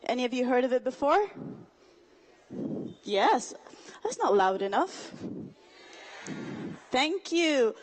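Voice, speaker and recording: A young woman with a high voice gives a speech to a live audience. She talks in an engaging, rhetorical way and keeps asking the crowd questions. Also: big pitch swings, takes it slowly, long pauses. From Somalia. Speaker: student speaker.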